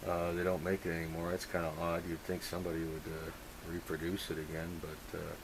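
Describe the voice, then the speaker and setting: Low voiced guy on ham radio, rambly halting tech chat, hissy noisy recording. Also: completely flat delivery. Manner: halting, rambling, thinking out loud. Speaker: amateur radio operator. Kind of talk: casual technical radio chat.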